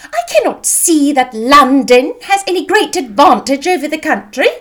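A woman's voice: sits high, big pitch swings, moderate pace, a bit bright, pitched above the performer's own voice. A woman voicing a fussy, self-important middle-aged mother in a period novel, effusive and exclamatory. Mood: indignant, triumphant.

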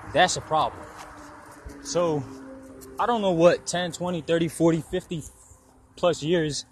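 A man in his twenties talking casually for his podcast while walking outdoors by a road, rambling and swearing freely. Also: a little high. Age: 20s. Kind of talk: casual personal monologue. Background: passing traffic.

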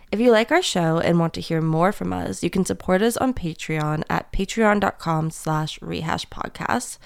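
A young woman co-hosting a podcast, chatting casually and laughing often, then reading the show's intro in a plain, even way. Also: fairly low pitch, clean tone. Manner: giggly, reads show intro plainly. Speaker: podcast co-host.

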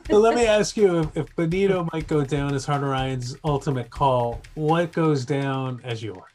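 A man's voice: fairly high pitch, normal speed, background noise. A man hosting an interview, asking friendly, upbeat questions and praising a student. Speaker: interview host.

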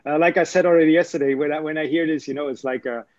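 A man hosting a podcast interview, talking in halting phrases with many fillers and restarts. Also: on the high side, steady tone, darkish tone, no room sound, dull audio. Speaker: podcast host. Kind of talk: casual interview.

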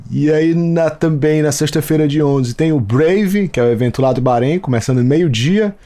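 A Brazilian man with a slightly high voice chats casually on a podcast. He jokes and rambles in a loose, friendly back-and-forth. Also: normal speed, dark tone. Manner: joking, chatty, rambling. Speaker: podcast co-host. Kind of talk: casual chat.

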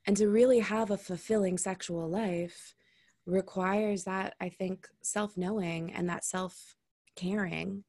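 Female guest, intimacy coach, frank and reflective, slight vocal fry, dry close sound. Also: mid-range voice, fairly even intonation, takes it slowly, a little mellow, no room sound. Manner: candid, reflective, swears casually. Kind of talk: casual podcast conversation.

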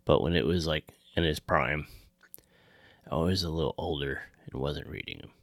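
A man's voice: a bass voice, moderate pace, no room sound. A man hosting a podcast in casual, informal chat, swearing freely. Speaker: podcast host.

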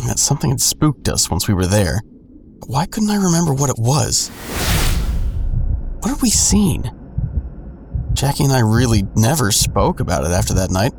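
A man's voice: medium pitch, big pitch swings, normal speed, long pauses, noisy background. An actor playing a man who narrates his own troubled story in a first-person audio drama. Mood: reflective. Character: adult man recounting his troubled past. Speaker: narrator and main character.

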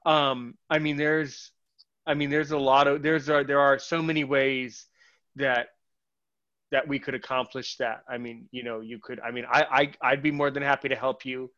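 A man's voice: medium pitch, level tone, normal speed, fairly bright tone, muffled recording. A man hosting a podcast in casual conversation, rambling with many false starts and repeated words. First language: English. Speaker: podcast host.

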